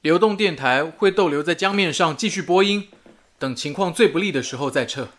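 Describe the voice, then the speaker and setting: Guy playing earnest wartime radio station man, high voice, steady, radio drama. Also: brisk, a little hiss or hum, lightly acted. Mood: resolute.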